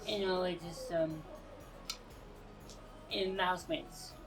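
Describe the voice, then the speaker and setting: A man in his thirties giving halting, unscripted interview answers outdoors. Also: high-pitched, steady tone. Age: 30s. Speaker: actor. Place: outdoors on a sea front. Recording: distant mic, music behind.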